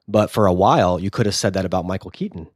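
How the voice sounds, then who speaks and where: Man, lowish voice, getting over a cold, jokey banter, dry close mic. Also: no room sound. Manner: quick jokey banter, filler words. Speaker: podcast co-host. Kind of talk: casual chat.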